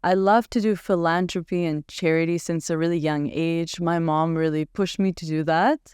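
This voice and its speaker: A woman's voice: on the low side, fairly even intonation, normal speed, darkish tone, clean tone, no room sound, studio quality. A woman in her twenties, raised in Canada after a childhood in Bulgaria, telling her story as a guest in a casual interview. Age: 20s. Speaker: guest, pageant winner.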